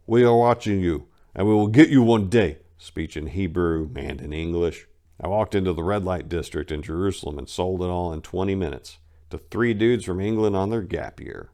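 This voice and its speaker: A man giving a dramatic reading of a first-person book, telling it conversationally and punching emphatic words before dropping low at phrase ends. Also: darkish tone. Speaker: reader of a memoir.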